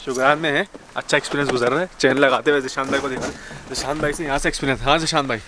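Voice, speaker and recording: A young man vlogging casually outdoors on a snowy mountain road, chatty and excitable, talking to a camera held at arm's length. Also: medium pitch, very fast. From Pakistan. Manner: chatty, excitable, laughs often. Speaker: travel vlogger. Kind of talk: casual travel vlog. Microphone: handheld camera at arm's length. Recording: outdoor noise, wind and vehicles.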